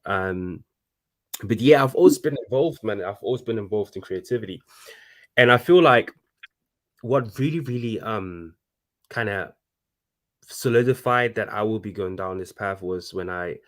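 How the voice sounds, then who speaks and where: A man's voice: fairly low pitch, normal speed, pauses often. A man in his thirties from Congo, a fashion designer talking casually and animatedly as a guest, with lots of restarts. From Congo (DRC). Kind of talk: casual conversation.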